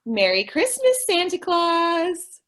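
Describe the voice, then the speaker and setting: A woman's voice: very high-pitched, huge pitch swings, slow pace, a bit bright, full character voice. A woman playing a naive, sweet, scatterbrained young woman in an old-time radio comedy, with an innocent, hesitant delivery. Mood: guileless.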